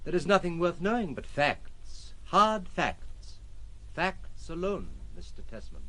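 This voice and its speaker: An actor playing a courteous, playful gentleman adventurer in an audio drama, with polished, formal manners. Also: on the high side, big pitch swings, a little slow, exaggerated voice, low-quality recording. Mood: emphatic.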